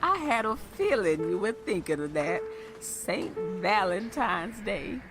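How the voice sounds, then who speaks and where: Lively, unhurried kindly old wife. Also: female voice, mid-range voice, over music. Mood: gently teasing. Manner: gentle, neighborly. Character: kindly old nursing-home wife, fond and teasing. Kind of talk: family audio drama dialogue.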